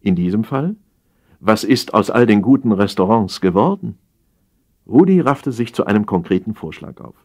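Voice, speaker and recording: A man narrating a humorous satire from a script as an audiobook reader, wry and expressive. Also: fairly low pitch, moderate pace.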